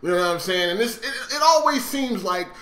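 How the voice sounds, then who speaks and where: A man's voice: high voice, expressive, brisk, sharp tone. A man giving casual, opinionated gaming commentary in a blunt, sweary way, often checking in with the listener. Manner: blunt, swearing, repeated conversational check-ins. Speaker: gaming video host. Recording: roomy, echoey sound.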